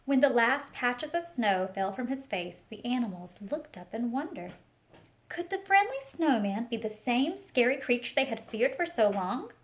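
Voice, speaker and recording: High-voiced woman reading a children's story aloud. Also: normal speed. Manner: expressive storytelling, talks directly to young listeners. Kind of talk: children's read-aloud with teacher-like asides and questions.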